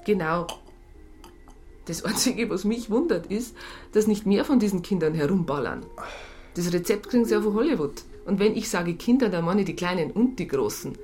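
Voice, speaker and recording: An actress playing a disillusioned police psychologist in a radio drama, blunt and sardonic. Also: female voice, mid-range voice, animated, normal speed, darkish tone, natural voice. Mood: resigned, sardonic. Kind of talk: naturalistic radio drama dialogue.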